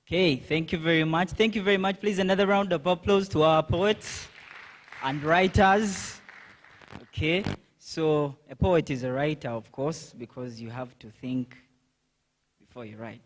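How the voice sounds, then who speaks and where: A man's voice: fairly high pitch, unhurried. A man moderating a conference panel, talking chattily with many fillers and repeated okays. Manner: chatty, with frequent fillers and "okay". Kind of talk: event moderation. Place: conference hall.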